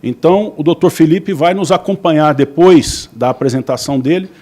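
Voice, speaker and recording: Man, a bit higher-pitched voice, formal courteous moderator. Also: normal speed, dark tone.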